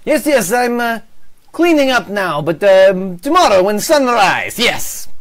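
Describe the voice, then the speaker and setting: A man puts on a pushy toymaker in a thick mock Russian accent, his voice leaping up and down as he haggles in broken grammar. The pitch swings widely between lines. He sounds like he is talking into a webcam mic on a home video call. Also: big pitch swings, a little slow, a bit bright, full character voice. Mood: salesy. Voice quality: broken grammar, sing-song sales pitch. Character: shabby Russian-accented male toymaker shopkeeper, pushy salesman.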